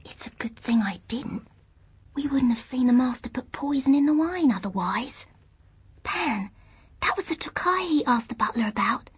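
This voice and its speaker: A woman playing a bold, excitable young girl in an audiobook drama, speaking in hushed, urgent tones. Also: high-pitched, moderate pace, husky, no room sound, exaggerated voice. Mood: urgent excitement.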